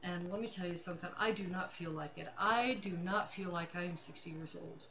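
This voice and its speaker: A woman's voice: lowish voice, brisk, fairly bright tone. Woman near sixty, noisy room recording. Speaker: livestream host, self-employed realtor. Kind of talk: casual personal talk to camera.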